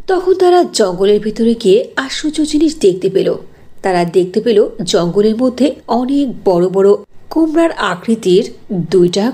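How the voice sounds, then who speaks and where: A woman's voice: mid-range voice, mellow. A woman narrator reading a scripted fairy tale in a storytelling style.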